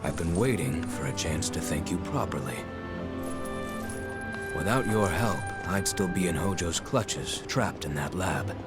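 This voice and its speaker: A stoic, loyal video game companion. The voice is very deep and solemn, with dignified, formal phrasing over loud music. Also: male voice, animated, unhurried. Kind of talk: scripted video game dialogue.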